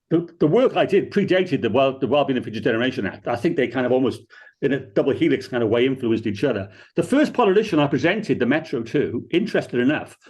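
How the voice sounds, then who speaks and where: A man's voice: mid-range voice, a little mellow, husky, highs cut off. A man from Cardiff with a Cardiff accent, speaking plainly and emphatically on a discussion panel. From Wales.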